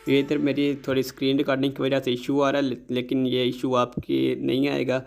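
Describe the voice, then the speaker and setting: A man's voice: mid-range voice, completely flat delivery, a little fast, vocal fry, faint music behind. A man hosting a casual app tutorial, politely addressing his viewers directly as he explains each step. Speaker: host of a tech tutorial channel. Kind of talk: casual scripted-style app tutorial narration.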